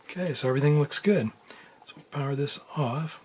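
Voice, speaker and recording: A man giving a casual, unscripted how-to in a low-key, halting murmur while he works with his hands at a bench. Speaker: electronics hobbyist demonstrating a repair. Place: indoors at a workbench. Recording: noisy room with handling clicks.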